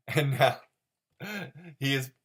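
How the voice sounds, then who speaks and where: A man's voice: medium pitch, flat delivery, extremely slow, husky. A man hosting a solo commentary show, talking off the cuff in a mock-serious, overanalytical way and repeating phrases for emphasis. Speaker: host of a comic-strip commentary show. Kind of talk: rambling, unscripted solo commentary.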